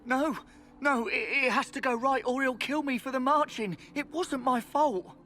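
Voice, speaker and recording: An actress playing a frightened, pleading, flustered servant in a video game. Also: female voice, high-pitched, normal speed, fairly bright tone, slight background noise. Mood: terrified. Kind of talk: video game cutscene acting.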